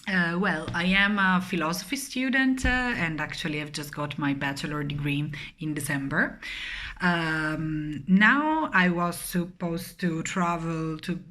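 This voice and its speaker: A young woman, a student, with a low voice for a woman. She talks slowly and haltingly, full of pauses and fillers. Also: pushed and bright. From Italy. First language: Italian. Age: 20s. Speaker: philosophy student.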